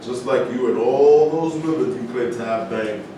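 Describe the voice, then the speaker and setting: A man's voice: normal speed, natural voice. An actor playing a defiant, taunting male athlete with a confrontational delivery in a staged play reading. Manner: confrontational, goading. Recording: echoey room, distant mic, rumbly background noise.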